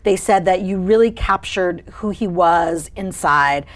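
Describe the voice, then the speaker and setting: A woman, a voice actor and audiobook narrator, chatting in a TV interview with an expressive, feminine voice. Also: fairly low pitch, unhurried. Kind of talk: casual interview chat.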